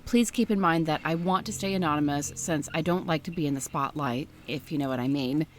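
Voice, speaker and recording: A woman reading a first-person story aloud in a steady, scripted narration style. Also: sits low, brisk, slight background noise.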